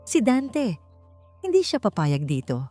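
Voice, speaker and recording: A woman narrator plays a frightened young mother-to-be in labour. Her voice jumps higher, breathless and shaking as she pleads in a dramatic audio story, with faint music behind. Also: wildly swooping pitch, measured pace, warm tone, full character voice. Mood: tearful disbelief. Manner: breathless, trembling, crying out.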